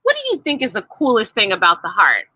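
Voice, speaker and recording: Woman reading as eager, bright-voiced young storybook boy. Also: medium pitch, wildly swooping pitch, fast, breathy edge, no room sound, full character voice. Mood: curious. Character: eager, curious young boys playing junior doctors. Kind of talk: children's storybook read-aloud.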